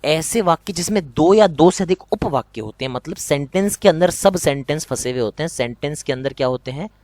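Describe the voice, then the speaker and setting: A young man with a Rajasthani accent teaches an exam prep lesson in a slightly higher than usual voice. He drums in key points by repeating them and tossing rhetorical questions at his students. The sound is dry and close, with no room in it. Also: animated, a little fast, darkish tone, dry recording.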